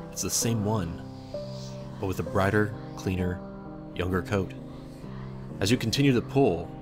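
A man narrates a fan fiction story in a low-pitched voice. He tells it dramatically, as a storyteller addressing the listener, while music plays clearly behind him. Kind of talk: scripted narration of fan fiction in second person.

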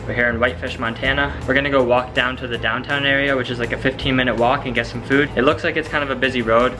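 A man in his twenties narrating a casual road trip vlog to a handheld camera. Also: mid-range voice. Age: 20s. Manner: easygoing, chatty, matter-of-fact. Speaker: travel vlogger. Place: car and motel room. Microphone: handheld camera at arm's length. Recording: music playing underneath.

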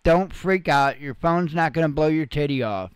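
A man's voice: on the high side, moderate pace, smooth, no room sound. A man giving informal news commentary as a channel host, explaining things in a folksy, plain-spoken way.

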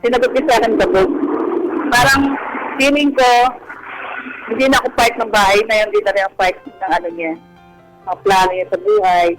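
A woman calling a radio advice show, telling a personal story casually in halting, fragmented phrases. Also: mid-range voice, steady tone, poor audio. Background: faint music.

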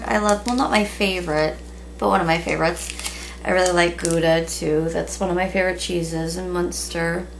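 A woman in her thirties chatting casually in a relaxed way with many ums, recorded at home on a camera at a table. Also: mid-range voice, vocal fry. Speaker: vlogger. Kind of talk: casual haul video chat. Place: small room at home, indoors. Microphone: camera in front at table. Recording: noisy home webcam recording.

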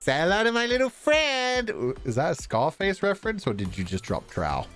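Animated male game streamer over music. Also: high voice, a little fast. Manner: animated, stammering for effect, jokey. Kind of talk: playful let's play, reading game dialogue aloud with ad-libs. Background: visual novel game music.

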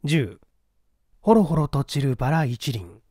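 A man's voice: mid-range voice, big pitch swings, a little slow, darkish tone, slightly rough, dry recording, clean studio sound. A man narrating a literary mystery novel as a dramatic audiobook reading.

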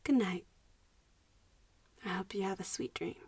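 A young woman voicing a playful, protective popular vampire girl in an intimate audio roleplay, breathy and often dropping to a whisper. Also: medium pitch, unhurried, darkish tone, dry recording. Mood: soft, hesitant. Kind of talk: audio roleplay voice acting, addressing the listener.

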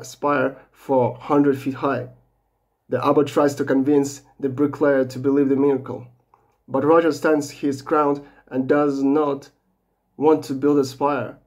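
A man's voice: medium pitch, a little mellow. A young man giving a scripted book summary as a student presenter. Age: young adult. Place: small bedroom.

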